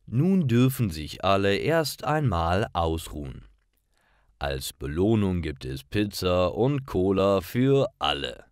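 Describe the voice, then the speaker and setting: A man narrates simple stories for language learners in a very deep voice. He reads slowly and carefully, with expressive rises and falls in his intonation. Each short sentence is delivered deliberately as scripted narration. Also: smooth, dry recording, studio quality.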